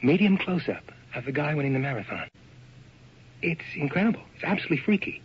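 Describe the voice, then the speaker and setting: An actor playing an enthusiastic, slangy photographer's assistant in a radio drama, hip and wisecracking. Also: male voice, on the high side, big pitch swings, quick pace, husky, heavily acted. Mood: excited, eerie. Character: breezy, enthusiastic young male photographer's assistant. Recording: noisy, dull old radio recording.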